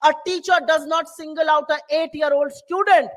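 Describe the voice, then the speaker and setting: High-voiced woman giving a rousing speech. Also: steady tone, moderate pace. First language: Hindi. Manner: rousing, repetitive rhetoric. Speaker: political speaker. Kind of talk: passionate public speech. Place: town hall.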